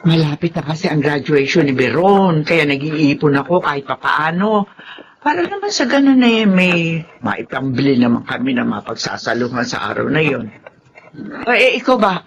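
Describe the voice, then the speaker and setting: An actress with a Filipino accent playing a chatty, hard-working middle-aged street vendor in a radio drama. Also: female voice, low voice, very animated, normal speed, muffled recording. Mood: friendly, grateful. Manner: folksy, chatty.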